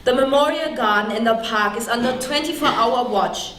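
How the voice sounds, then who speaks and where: A woman in a staged play reading, playing an outraged, sharp-tongued woman. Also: a little high, moderate pace, a little hiss or hum, close to an everyday voice. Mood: terse. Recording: very echoey hall, distant mic.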